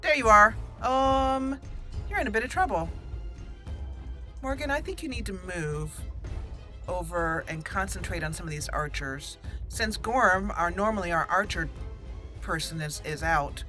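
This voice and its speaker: A woman giving casual, expressive commentary as she roleplays through a video game, talking to the characters as she plays. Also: medium pitch, unhurried, pauses often, over music. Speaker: gaming channel host doing a let's play.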